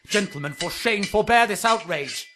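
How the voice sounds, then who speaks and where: A man's voice: high-pitched, animated, moderate pace, over loud music. An actor playing an earnest, passionate young nobleman in a Shakespearean radio drama, with declamatory delivery. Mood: alarmed.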